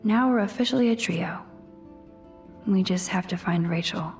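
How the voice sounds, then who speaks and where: A young American woman playing an introspective, gentle teenage girl student in a video game, musing softly with wry asides. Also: medium pitch, moderate pace, natural voice. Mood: reflective. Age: young adult. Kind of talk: video game voice acting, inner monologue. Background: background music.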